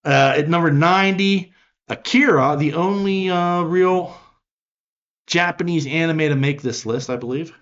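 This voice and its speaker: A man in his fifties hosts a casual podcast, talking in a wry, rambling way and making fun of himself. His voice sits a little high. The sound is dull and lo-fi. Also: a little slow, a bit bright. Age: 50s. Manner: wry, rambling, self-deprecating. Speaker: podcast host.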